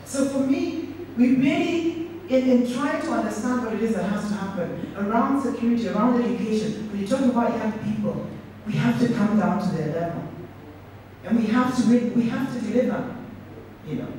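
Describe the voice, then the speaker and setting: A woman's voice: a little high, normal speed, dark tone. Earnest woman speaking in an echoey hall. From Kenya. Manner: earnest, repeats and restarts words, reflective. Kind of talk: public speech. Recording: echoey hall, speaker far from mic, faint noise.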